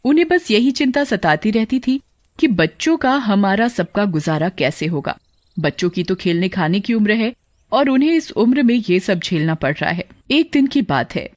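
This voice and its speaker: A woman reading a scripted cartoon story as narrator, in a plain, measured storytelling manner. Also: mid-range voice, lively intonation, a little mellow, dry recording.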